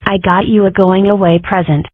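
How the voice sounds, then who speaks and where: A woman's voice: mid-range voice, fairly even intonation, moderate pace, no echo, close to an everyday voice. A woman voicing a mischievous young fox girl in a fan comic dub, speaking in a sarcastic way. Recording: dry, phone-like lo-fi sound.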